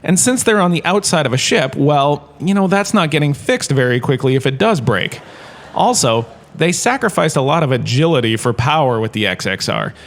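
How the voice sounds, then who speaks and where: An actor playing a self-satisfied, washed-up performer turned university lecturer, chatty and digressive in a comedic sci-fi audio drama. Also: male voice, fairly high pitch, expressive, moderate pace. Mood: instructive, self-assured.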